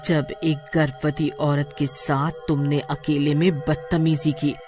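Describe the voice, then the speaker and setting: Woman doing a cartoon witch ghost out for revenge, low and menacing, music behind. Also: level tone, takes it slowly, a little mellow. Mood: accusing, vengeful. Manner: accusing, dramatic. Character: vengeful female witch ghost, accusing and menacing.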